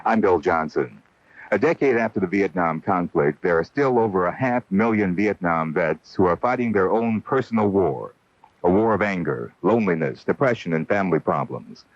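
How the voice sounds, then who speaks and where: A deep male announcer delivers a scripted public service message. His reading is sober and deliberate. It sounds like a dull, old AM broadcast. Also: expressive. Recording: dull 1980 AM radio broadcast.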